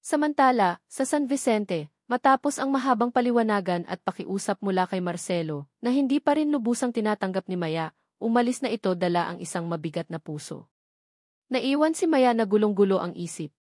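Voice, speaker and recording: A Filipino woman narrates a scripted romance drama in a medium-pitched voice. The sound is dry and free of room echo, with the delivery of a dramatic storyteller. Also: expressive, fairly quick, a little mellow.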